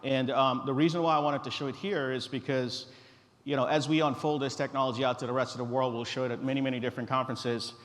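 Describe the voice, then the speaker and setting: A middle-aged man from Guyana giving an informal live stage presentation in a relaxed, direct, joking manner. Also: mid-range voice, fast. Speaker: company founder presenting a tech demo. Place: conference stage in a large reverberant hall. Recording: echoey hall through a stage PA.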